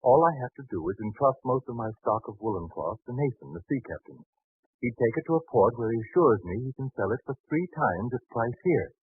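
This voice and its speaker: A husky, worried merchant father. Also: mid-range voice, animated, a little fast, no room sound. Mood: anxious. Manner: hesitant, earnest. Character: cautious, worried male merchant and anxious father. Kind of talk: 1940s radio drama acting. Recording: tinny, band-limited 1940s radio broadcast.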